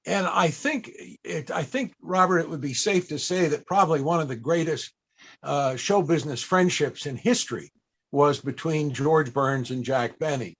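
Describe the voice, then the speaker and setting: A man's voice: a little high, normal speed, a bit bright, breathy edge. A man hosting a panel as interviewer, speaking conversationally and telling stories.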